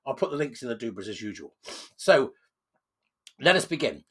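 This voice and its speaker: A man with a cold delivers an insistent commentary monologue, his voice croaky and husky. His pitch swings widely as he hammers key words with sudden loud stresses. He sits at a middling pitch for a man. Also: very animated, moderate pace. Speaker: channel host.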